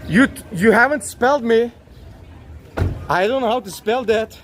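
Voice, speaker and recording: High-voiced man pressing questions on the street. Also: normal speed, noisy background. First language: not English. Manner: persistent, repeats demands politely but insistently. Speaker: citizen auditor filming police. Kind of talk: confrontational street recording. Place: outdoors on a busy city street.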